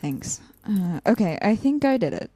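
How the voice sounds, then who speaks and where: A woman's voice: mid-range voice, very animated, moderate pace, dark tone. A woman playing an earnest, conflicted, loyal young student in a naturalistic audio drama, a little hesitant. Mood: relieved, casual.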